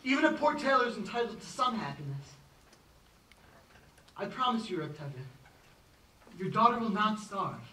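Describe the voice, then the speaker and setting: A young actor playing a poor village tailor, earnest, nervous and halting, in a film drama. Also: male voice, high voice, big pitch swings, bright, forceful tone, heavily acted. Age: young adult. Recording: echoey, distant, noisy film soundtrack.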